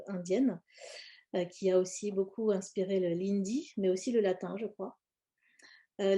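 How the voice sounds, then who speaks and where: A woman from France gives an informal explanatory live presentation, speaking hesitantly and often pausing mid-phrase. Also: mid-range voice, warm tone. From born in India, grew up in France. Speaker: presenter, cosmetics brand founder.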